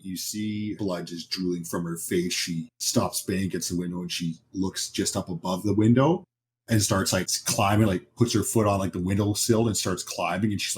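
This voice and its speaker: Low-voiced male game master, noisy recording. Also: fairly even intonation, moderate pace. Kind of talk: narrating a horror tabletop game, improvised.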